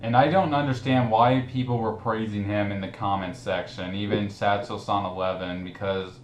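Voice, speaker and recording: Low-voiced man in an echoey, noisy room. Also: steady tone, moderate pace. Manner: mocking. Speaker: commentary video host. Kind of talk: casual group commentary chat. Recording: echoey room with clear background noise.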